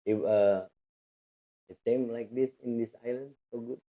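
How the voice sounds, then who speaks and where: A young man hosting a podcast, playful and joking, switching between languages in halting English. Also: mid-range voice, fairly even intonation, highs cut off. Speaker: podcast host. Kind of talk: casual chat.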